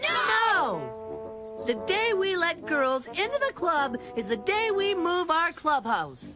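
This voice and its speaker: A woman's voice: extremely high pitch, wildly swooping pitch, slow, music in the background, exaggerated voice. A woman voicing a bossy, scheming little boy in a children's cartoon, with a blustery manner. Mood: indignant.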